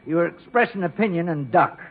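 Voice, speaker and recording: A man's voice: a little high, expressive, brisk. A man playing a meek, resigned, henpecked middle-aged husband in a 1950s radio comedy, on a tinny recording with an audience. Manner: resigned, timid.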